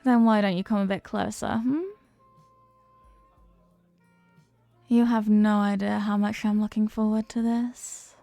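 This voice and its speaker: A woman's voice: medium pitch, level tone, moderate pace, creaky, mellow, dry recording, faint music behind, natural voice. A woman playing a flirty, playful stranger at a New Year's party in an intimate audio roleplay, with a coy, teasing, murmuring delivery. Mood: tender, eager.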